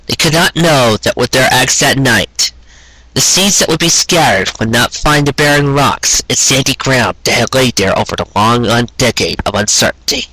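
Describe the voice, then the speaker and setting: A man's voice: medium pitch, animated, normal speed, bright, forceful tone, slightly rough, no echo, rough recording. A man narrating a scripted story in an earnest, sentimental manner. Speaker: narrator reading fan fiction.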